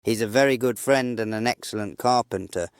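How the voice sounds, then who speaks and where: A man's voice: on the low side, a little fast, clean tone, no room sound, pitched below the performer's own voice, natural voice. A narrator voicing a friendly, plain-spoken settler man in an audiobook story.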